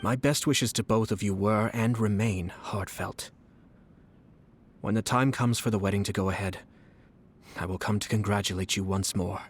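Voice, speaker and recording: An actor playing a refined, shrewd young clan lord in a video game, speaking in a formal, measured, courtly way. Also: male voice, fairly low pitch, steady tone, slight background noise, lightly acted. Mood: gracious, assured. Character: refined young nobleman, calm, shrewd clan head and commissioner. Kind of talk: scripted video game character dialogue. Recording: game music behind the voice.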